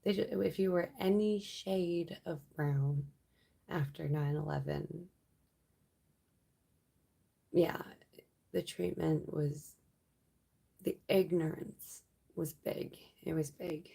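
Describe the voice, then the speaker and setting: Slow, gravelly-voiced woman streamer. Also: low-pitched. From Canada. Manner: relaxed, rambling, laughs often. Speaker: livestream host. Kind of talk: casual late-night chat with viewers.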